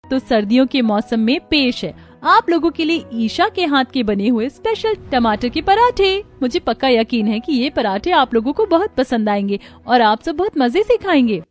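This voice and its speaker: Here is a woman playing an eager young daughter-in-law in a cartoon family story. She is cheerful and fairly high in pitch, with music playing behind her. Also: very animated, normal speed, few pauses. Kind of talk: acted family story dialogue.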